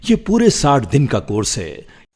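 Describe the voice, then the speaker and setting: A middle-aged man reading scripted voice-over in a measured, dramatic way. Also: medium pitch, huge pitch swings, in a large hall, heavy background noise. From India. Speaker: professional voice-over artist. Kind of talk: scripted voice-over for commercials and narration.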